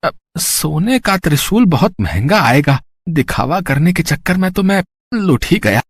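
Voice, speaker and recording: A man's voice: a little high, very animated, unhurried, noisy background. A man voicing a greedy, showy village merchant with oily, fake-humble politeness in a moral-story cartoon. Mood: scheming, fretful. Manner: oily, showy politeness with self-satisfied chuckles.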